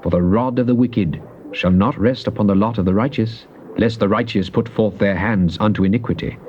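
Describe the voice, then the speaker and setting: A man's voice: fairly low pitch, a little fast, lightly acted. Devout psalmist played by a male actor, solemn and theatrical scripture delivery, muffled cassette sound with hiss. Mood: solemn, grateful. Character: devout psalmist pleading and praising God. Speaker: scripture reader. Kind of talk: dramatized King James Bible reading. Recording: dull, noisy old cassette tape.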